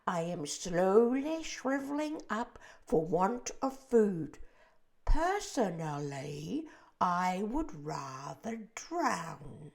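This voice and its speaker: A woman voicing a gloomy, complaining blind male earthworm who draws out his words slowly and sounds doomed. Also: fairly high pitch, sing-song, vocal fry, a little mellow, voice pushed up, heavily acted. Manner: slow, drawn-out and doom-laden.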